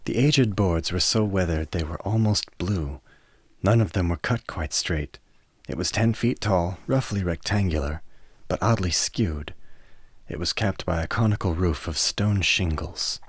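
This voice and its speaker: A man narrating a scripted radio play in a measured, descriptive storytelling manner. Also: low voice, husky, dry recording.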